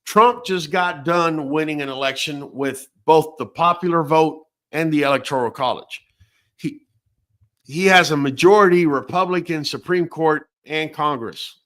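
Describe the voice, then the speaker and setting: A man hosting a late-night talk show who was born in Venezuela. His voice sits a little high, and he talks in a casual, blunt, profane rant, stacking one opinion on the next. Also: a bit bright. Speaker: host.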